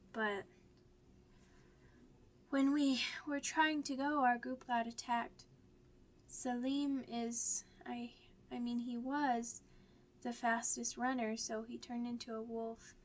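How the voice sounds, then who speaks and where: A woman playing a shy, grieving, vulnerable young werewolf pup in an ASMR audio roleplay, with halting, stammering, childlike speech. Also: a little high, slight background noise.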